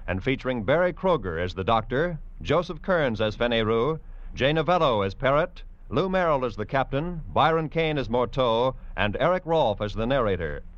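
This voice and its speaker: A man's voice: mid-range voice, sing-song, moderate pace. A man reading scripted credits as a formal, polished mid-century radio announcer. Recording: dull old radio broadcast.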